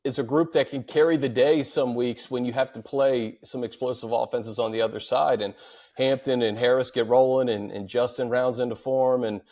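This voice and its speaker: A male sports host with an average-pitched voice. He talks through the topic conversationally, thinking out loud as he hosts the discussion. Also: fairly even intonation, normal speed.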